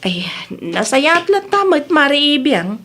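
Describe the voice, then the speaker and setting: A woman's voice: high voice, sing-song, takes it slowly, fairly bright tone, heavily acted. A woman playing a grudging, envious village housewife and mother in an Ilocano radio comedy drama, grumbling and reluctant, with a Filipino Ilocano accent.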